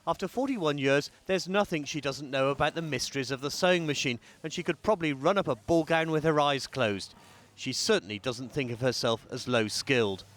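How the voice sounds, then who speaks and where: A man reporting as a political correspondent, with a measured, clear delivery. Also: fairly high pitch, fairly bright tone, a little hiss or hum. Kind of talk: news report voice-over and interviewing.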